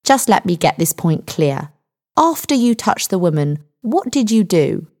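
A woman voicing a male police sergeant in a story, friendly but condescending and dismissive, with measured delivery. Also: lowish voice, sing-song, dark tone. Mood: probing. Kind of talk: audiobook character dialogue.

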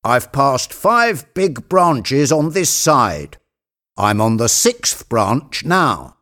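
A man's voice: medium pitch, big pitch swings, extremely slow, pushed and bright, pitched above the performer's own voice, exaggerated voice. A man voicing a reluctant, wary male servant, hesitant and protesting, in a storybook tale. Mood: uneasy.

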